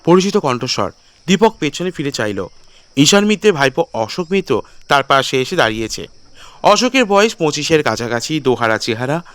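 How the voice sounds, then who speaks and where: A man narrating a detective story in a dramatic, literary, measured storytelling style. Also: a little high, big pitch swings, a bit bright, no echo.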